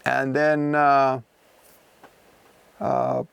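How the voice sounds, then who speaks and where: Finnish-accented man, non-native English, relaxed livestream chat, rough fry-heavy voice, dead dry room. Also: medium pitch, drawn-out words, no echo. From Finland. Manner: rambling, conversational, many fillers and restarts. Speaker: music producer streaming from his studio. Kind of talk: casual livestream chat, answering viewers. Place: home music studio.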